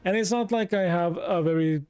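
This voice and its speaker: Norwegian guy streaming a game, mellow voice, chats w/ viewers. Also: high voice, moderate pace, clear, resonant tone, no echo. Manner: chatty, talks to chat, reacts aloud. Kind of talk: casual let's play commentary.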